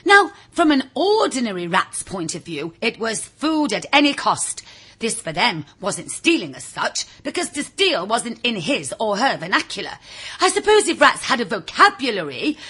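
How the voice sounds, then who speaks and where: A woman reading a children's story in a theatrical, confiding way, with a husky, raspy voice, speaking directly to the listener. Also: mid-range voice, fairly bright tone. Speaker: audiobook reader. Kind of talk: animated scripted children's story narration.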